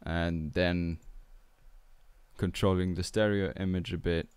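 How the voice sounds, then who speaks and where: A young Austrian man with a German accent talks slowly in a deep, smooth voice. He explains music production in a relaxed, hesitant way, with many ums and uhs. He speaks close to a studio microphone in a small home studio. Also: dry recording. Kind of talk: tutorial walkthrough. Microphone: studio mic on boom arm with headphones.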